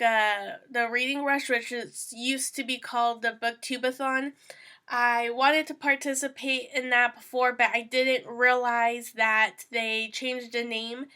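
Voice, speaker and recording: Young woman w a stuffy cold voice, bright and a bit high, rambly chatty booktube host. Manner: rambling, chatty, many fillers. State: congested, sniffling from a cold. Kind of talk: casual chat to camera.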